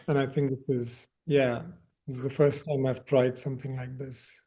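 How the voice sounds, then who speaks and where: A man's voice: medium pitch, level tone, moderate pace, dark tone, breathy edge. A man from India speaking as an interviewed film director, reflective, often repeating words as he searches for phrasing.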